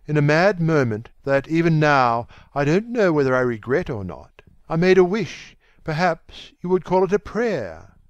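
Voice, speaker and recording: A man voicing a vain, bitter, despairing young gentleman in a theatrical reading of a classic novel. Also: on the high side, big pitch swings, moderate pace, clean tone, no room sound, lo-fi sound, voice pushed up. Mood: bitter, reproachful.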